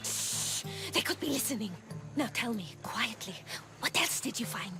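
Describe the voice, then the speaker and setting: An actress playing a sly, fearful informant in a video game, hushed, secretive and teasing. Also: female voice, a little high, lively intonation, moderate pace, over music, natural voice. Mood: urgent. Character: cryptic, furtive female informant, sly and fearful. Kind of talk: video game cutscene acting.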